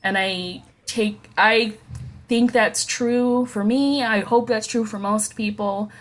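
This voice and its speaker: A woman's voice: a little high, slow pace. Blunt, laughing woman in her forties. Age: 40s. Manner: blunt, swears freely, laughs often. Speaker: podcast co-host. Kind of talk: casual chat.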